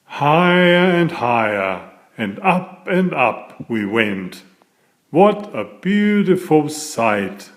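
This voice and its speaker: A man narrating a children's picture book aloud in a deliberate, expressive storytelling manner. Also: a little high. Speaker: author reading aloud.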